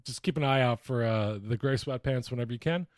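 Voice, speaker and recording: A man's voice: mid-range voice, fairly quick, no room sound, clean studio sound. A man co-hosting a podcast, talking casually in a joking, conversational way. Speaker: podcast co-host. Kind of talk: casual comic banter.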